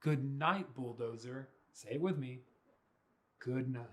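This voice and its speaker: A young man reading a children's storybook aloud in a playful, expressive way with drawn-out words. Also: mid-range voice. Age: young adult. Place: small furnished room indoors.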